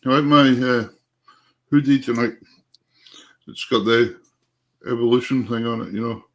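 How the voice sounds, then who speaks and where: A Scottish man presents a live online music show in a mid-pitched voice. He talks casually, chatty and folksy, as if addressing listeners directly. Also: moderate pace, a bit bright. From Scotland.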